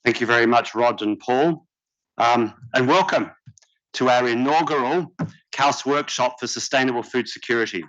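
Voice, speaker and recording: A man reads a formal opening address smoothly, without stumbling. His voice sits in the middle range. The recording is somewhat muffled and lo-fi. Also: mid-range voice, fairly bright tone. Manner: fluent, formal, read-from-notes delivery. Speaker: professor and center director opening a workshop. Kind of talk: prepared formal speech. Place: conference hall stage. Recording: slightly dull conference audio.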